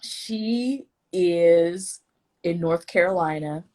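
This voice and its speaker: Chatty Midwestern woman hosting, lower voice. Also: extremely slow, darkish tone. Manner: chatty, self-deprecating, stumbles over words. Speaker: host, vice president of the collective. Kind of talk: casual live-stream hosting.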